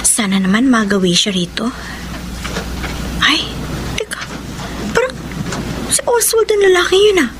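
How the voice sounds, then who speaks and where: A woman's voice: sits high, big pitch swings, measured pace, long pauses, background noise. A woman playing a vindictive, jealous ex-girlfriend with theatrical taunting in a Filipino radio drama. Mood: wistful, scheming. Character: vindictive, jealous spurned ex-girlfriend, scheming and venomous.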